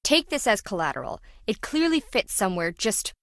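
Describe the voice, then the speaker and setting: Sharp-tongued, greedy businesswoman, bright and dry. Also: medium pitch, very animated, moderate pace, no echo. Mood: brash, blunt. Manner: sardonic, dismissive, blunt. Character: greedy, sarcastic, hard-edged businesswoman treasure hunter. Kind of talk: video game character dialogue.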